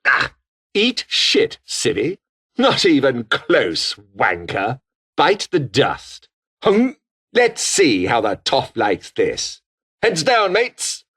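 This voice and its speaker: Game role: pompous, scheming British officer, coldly ambitious, with widely swinging intonation. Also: male voice, mid-range voice, huge pitch swings, slow, a bit bright, breathy edge, exaggerated voice. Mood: combative, urgent. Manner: pompous, formal, disdainful. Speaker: video game voice actor. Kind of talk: scripted game character dialogue.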